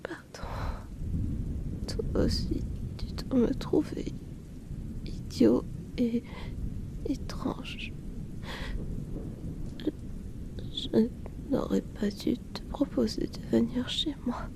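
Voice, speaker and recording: A shy young male outcast acted by a woman murmurs very slowly in a breathy, creaky whisper. He is halting, apologetic and down on himself, desperate to be noticed in an intimate ASMR roleplay. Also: a little high, exaggerated voice. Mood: hurt, pleading.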